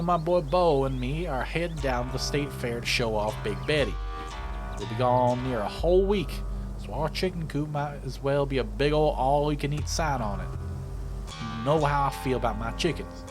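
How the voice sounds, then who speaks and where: A man's voice: fairly high pitch, expressive, moderate pace, close to an everyday voice. A man voicing a stubborn, grumpy old Appalachian hunter with a rural Southern accent and a folksy, blustering way of talking, for a game. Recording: music playing behind the voice.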